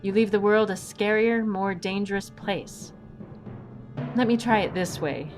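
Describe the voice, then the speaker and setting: An actress playing a composed, principled, pedantic heroine in an audio drama, speaking in a measured, dry, precise way. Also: female voice, medium pitch, fairly even intonation, over music, natural voice. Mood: earnest, persuasive.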